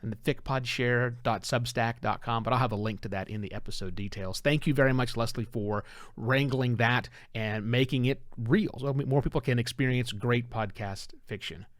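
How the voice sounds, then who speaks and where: A man's voice: medium pitch, moderate pace, dry recording, clean studio sound. A middle-aged man hosting a solo podcast, talking conversationally to live viewers with emphatic phrasing. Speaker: podcast host and producer.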